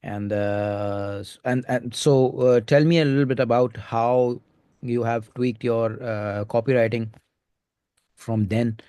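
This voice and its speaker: A man with an Indian accent hosting a recorded interview over a remote call, talking in halting stretches full of filler sounds. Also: mid-range voice, fairly even intonation, warm tone, clear, resonant tone, no room sound, clean studio sound. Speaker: host.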